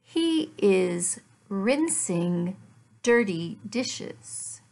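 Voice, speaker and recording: Very slow, creaky-voiced woman teaching. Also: mid-range voice, sing-song, darkish tone. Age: middle-aged. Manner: deliberate, word-by-word enunciation for learners. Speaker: English teacher. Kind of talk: slow English lesson with repeated questions and answers. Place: small furnished room at home.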